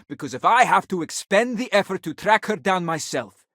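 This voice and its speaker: A haughty, husky, wealthy father. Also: sits high, animated, moderate pace, fairly bright tone, no echo, studio quality, full character voice. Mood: indignant, threatening. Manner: haughty, formal, exasperated. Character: wealthy, indignant, stubborn father hunting his runaway daughter. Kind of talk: video game voice acting.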